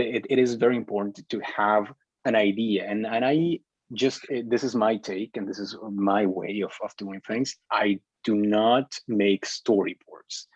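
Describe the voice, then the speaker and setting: A man speaking as an instructor in a casual discussion, halting and often repeating words, on a muffled online call. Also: lowish voice, a little mellow, husky, no echo. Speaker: film instructor leading a class discussion. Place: online group video meeting.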